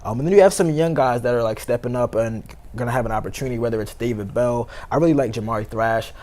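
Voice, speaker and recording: A man's voice: medium pitch, quick pace, dark tone. An American man, a sports reporter, giving casual analysis as a talk show guest, peppering his speech with "you know" and "like". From Baltimore, United States. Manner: conversational, heavy use of "you know" and "like".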